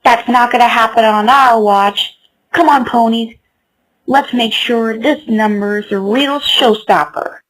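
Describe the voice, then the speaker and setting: A woman's voice: on the high side, measured pace, fairly bright tone, rough recording. A woman voicing an earnest, hopeful young mare who leads her friends, in a fan comic dub. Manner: earnest, rallying.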